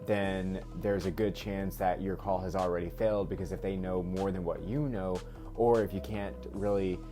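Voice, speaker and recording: A man's voice: low voice, fairly even intonation, moderate pace, warm tone. Rambling thirty-something man in a roomy room. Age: 30s. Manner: rambling, filler-heavy, repeats himself. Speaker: vlogger. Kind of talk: casual talk to camera. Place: indoors at home work space. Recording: roomy echo, faint music behind.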